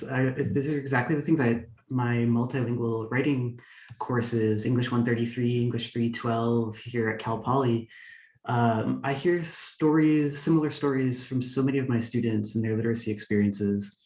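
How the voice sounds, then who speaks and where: A man's voice: medium pitch, moderate pace, a little mellow, recorded from a distance. A man who teaches writing, presenting at a workshop in a thoughtful way, with lots of fillers and restarts, over a video call. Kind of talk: workshop discussion. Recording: roomy and away from the mic.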